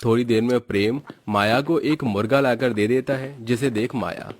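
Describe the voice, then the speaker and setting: An Indian man narrates a cartoon story from a script. His voice sits at a medium pitch and the recording is very dry, with no room sound. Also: normal speed, dry recording.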